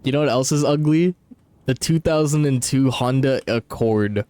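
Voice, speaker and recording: A man with an average-pitched voice talks like a game streamer in the middle of a live session. He is blunt and teasing, swearing freely and leaning on slang. Faint music plays behind his casual commentary. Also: normal speed, warm tone, no room sound. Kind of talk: casual profane live gameplay commentary and chat banter.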